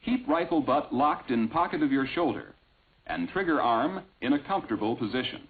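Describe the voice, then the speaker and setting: Man, vintage training film narrator, narrow tinny soundtrack, lively intonation, measured instructional delivery. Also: medium pitch. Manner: measured, instructional, clearly enunciated.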